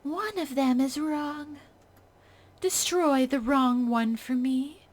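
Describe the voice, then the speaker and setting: Woman voicing a high eerie ghost demon girl. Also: slow pace. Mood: eerie polite.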